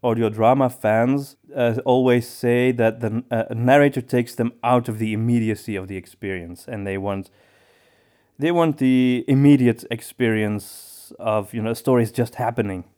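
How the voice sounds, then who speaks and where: A man's voice: medium pitch, steady tone, moderate pace, a little mellow. Man co-hosting a casual discussion. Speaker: podcast co-host.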